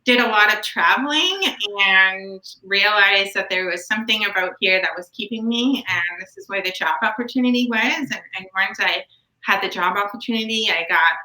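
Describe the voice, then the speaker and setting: A Canadian woman in her thirties, a guest in a casual interview, chatty and quick to laugh. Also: medium pitch, pushed and bright, roomy sound, poor audio. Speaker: guest, nonprofit educator.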